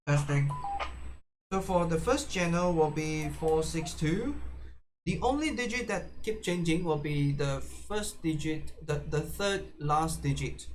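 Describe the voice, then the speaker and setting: A man presenting a casual, unscripted how-to demonstration, haltingly, often repeating and restarting his phrases. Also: a little high. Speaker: tutorial presenter. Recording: clearly noisy background ambience.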